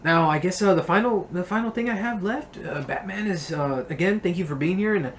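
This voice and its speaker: A man's voice: sits high, fairly quick, slight background noise. A man hosting a casual movie review, talking as an enthusiastic fan in a room full of memorabilia.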